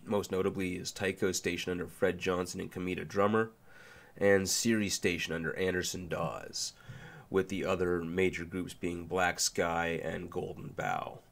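A man's voice: sits low, little pitch movement, normal speed, gravelly. A man hosting a casual explainer video, sounding nasal from a sinus flu and talking loosely with fillers and restarts. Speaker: video essay host.

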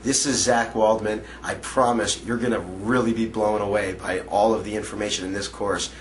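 A young man talking straight to the camera from a couch indoors, pitching his magic course eagerly in a casual, self-correcting way. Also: steady tone, normal speed, a little hiss or hum. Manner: enthusiastic, conversational, with self-corrections. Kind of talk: direct-to-camera sales pitch. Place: indoor room, seated on a patterned couch.

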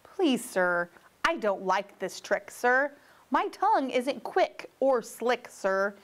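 A woman reads as a flustered male creature from a picture book. The voice is high and very animated, full of polite complaint and pleading exasperation. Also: slow pace, full character voice. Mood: apologetic, reluctant.

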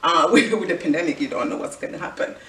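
A woman's voice: fairly low pitch, expressive, fast talker, slight background noise. A middle-aged woman from the Congo with a Congolese accent, giving an earnest, preachy motivational talk to camera and often repeating a rhetorical question. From Congo, Central Africa. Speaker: fashion vlogger and clothing designer. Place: indoor room with clothing rack. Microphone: far camera. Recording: echoey room from far off.

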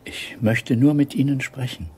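An actor playing a guarded, lonely ageing intelligence officer in a radio drama, with a husky voice. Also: male voice, mid-range voice, fairly even intonation, normal speed, darkish tone, soft background music, close to an everyday voice. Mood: lonely, pleading.

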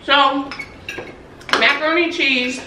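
A woman's voice: high voice, steady tone, takes it slowly, noisy background. A middle-aged woman hosting a family vlog, chatting and explaining dishes at the dinner table. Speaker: vlog host and mother. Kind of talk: casual family dinner chat. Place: dining room at home, family at table. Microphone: far camera across table. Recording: echoey room, distant mic, table clatter.

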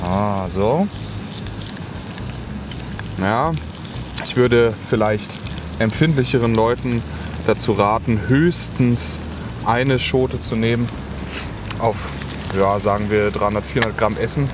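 A young man casually talking through cooking at his outdoor camp, relaxed and chatty with many filler words, recorded on a camera at arm's length. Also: lowish voice, slow, pauses often. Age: young adult. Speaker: outdoor vlogger. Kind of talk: casual cooking vlog narration. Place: outdoors in a windy wood in rain. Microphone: camera mic at arm's length. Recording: outdoor wind and rain noise. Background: wind and rain.

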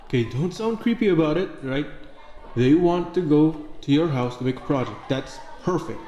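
A man's voice: fairly high pitch, animated, normal speed, warm tone, reverberant, big-room sound, lo-fi sound, voice pushed up, close to an everyday voice. A man voicing the frantic inner thoughts of a shy male student, exasperated and sarcastic, in an audio roleplay. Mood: sarcastic panic. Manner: exasperated, outburst-prone, sarcastic.

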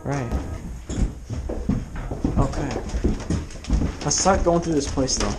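A young man chatting casually and reacting excitedly as an exploration vlogger, recorded on a handheld camera. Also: on the high side, slow pace. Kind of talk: casual chat while exploring. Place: dark abandoned haunted attraction, indoors and out. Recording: noisy handheld camera audio.

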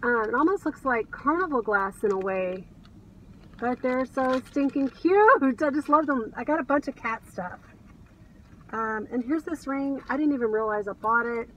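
A woman's voice: high-pitched, animated, moderate pace, fairly bright tone. A middle-aged American woman vlogging casually from inside a car, chatting to camera in a gushing, enthusiastic way. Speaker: reseller vlogger. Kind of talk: casual chat to camera, showing thrift finds. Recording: car cabin, distant mic, background noise.

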